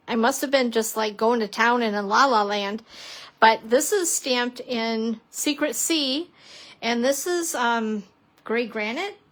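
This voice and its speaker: A woman teaching a live craft demonstration, chatty and prone to laughing at herself, a little hoarse as she's losing her voice. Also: a little high, a bit bright, low-quality recording. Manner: chatty, giggly, self-deprecating, exclamatory. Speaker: papercrafting instructor.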